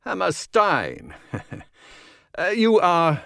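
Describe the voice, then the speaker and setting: An actor with a German accent playing an oily, guarded official in an audio play, halting and falsely polite. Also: male voice, high voice, huge pitch swings, vocal fry, pushed and bright, dry recording, clean studio sound, exaggerated voice. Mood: evasive, suspicious. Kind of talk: audio drama acting.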